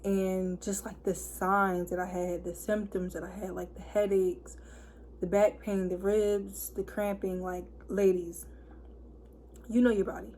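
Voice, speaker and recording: A hoarse woman of twenty-one, chatting casually to camera and packing her talk with fillers. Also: medium pitch, little pitch movement, measured pace, a little mellow, a little hiss or hum. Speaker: vlogger. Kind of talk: casual story time chat.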